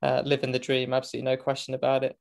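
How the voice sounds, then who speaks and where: British man, twenties, chatty, self-deprecating, laughs easily, casual podcast guest, mid-pitched voice. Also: completely flat delivery, rapid-fire, gravelly, clear, resonant tone. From England. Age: 20s. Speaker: guest, motorcycle racing commentator. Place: home, remote call.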